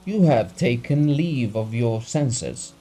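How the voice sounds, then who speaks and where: A man's voice: medium pitch, expressive, unhurried, dark tone, breathy edge. A man reading a story aloud, voicing a wealthy, haughty nobleman who shrieks at others and his servants. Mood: wounded. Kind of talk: audiobook character reading.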